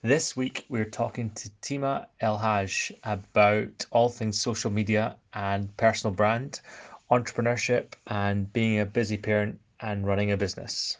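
Guy hosting a podcast, gravelly fry, laid back, lots of yeah and okay. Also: on the low side, moderate pace. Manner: relaxed, with short agreeing interjections. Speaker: podcast host. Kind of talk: casual interview hosting.

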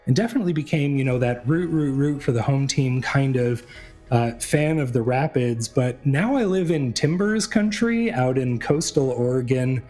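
A man's voice: medium pitch, animated, normal speed, warm tone, soft background music. A young adult man from New England hosting a solo sports podcast, talking in a rambling, chatty way with many fillers and restarts. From New England, USA. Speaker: podcast host.

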